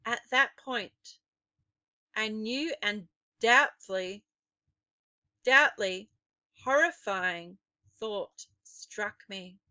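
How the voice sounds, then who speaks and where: A woman narrating a children's story expressively, with dramatic, deliberate phrasing. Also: mid-range voice, bright, forceful tone, smooth, dry recording.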